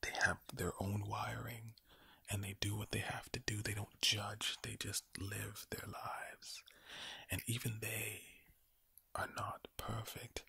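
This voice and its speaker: A man giving a soothing ASMR pep talk in a low, gravelly whisper, close to the microphone, with deliberate, repetitive phrasing and a villain-like edge. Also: flat delivery, pushed and bright. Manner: slow deliberate phrasing with repetition and rhetorical questions; villain-like, ominous sound. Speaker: ASMR video creator.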